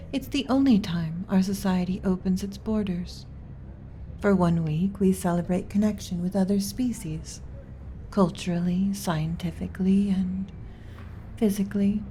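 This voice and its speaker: A woman playing a gentle, submissive alien servant in an ASMR roleplay, speaking softly and breathily with hesitant, deferential pauses and some whispering. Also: fairly low pitch, slight background noise, natural voice. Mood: reverent, soft. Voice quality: soft, breathy with whispered stretches. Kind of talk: ASMR roleplay, soft intimate delivery.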